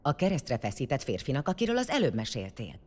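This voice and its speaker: A woman's voice: extremely low pitch, huge pitch swings, darkish tone, breathy edge, in a large hall, full character voice. An actress playing a vengeful, sardonic woman warrior leader in an audio drama, mocking and commanding. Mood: brusque. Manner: mocking, commanding, foul-mouthed.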